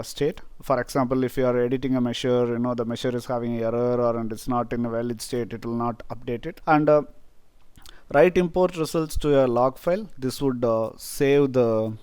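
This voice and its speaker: A man from India, a Tamil native speaker with an Indian accent, explaining a software feature step by step with many "uh" fillers. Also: mid-range voice, steady tone, normal speed, clear, resonant tone, no echo. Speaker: software tutorial presenter. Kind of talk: screen-recorded software tutorial.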